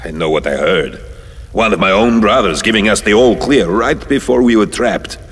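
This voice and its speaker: An actor playing a grim, distrustful battle-scarred space warrior in an audio drama, with a husky voice and a terse, stubborn manner. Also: male voice, on the low side, lively intonation, moderate pace, fairly bright tone. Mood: suspicious, grim. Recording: long hall-like reverb.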